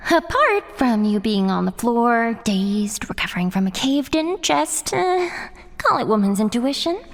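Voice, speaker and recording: Gleefully sadistic, high-pitched metalhead tormentor girl. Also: big pitch swings, measured pace. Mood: mock-sweet, teasing. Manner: taunting, mock-sweet, foul-mouthed. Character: gleefully sadistic young heavy-metal woman tormenting a captive. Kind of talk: horror audio drama acting.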